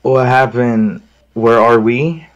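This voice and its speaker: A man voicing a gentle, polite young heroine in a visual novel, in a stilted, halting way. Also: mid-range voice, fairly even intonation, dull audio, close to an everyday voice. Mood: confused.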